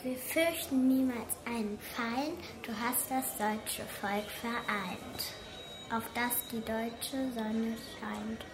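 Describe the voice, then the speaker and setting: A woman's voice: a little high, slow. A woman playing a small girl in a radio drama, proudly reciting verse in a stiff singsong. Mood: solemn, eager. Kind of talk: German radio drama acting. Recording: echoey room with music behind.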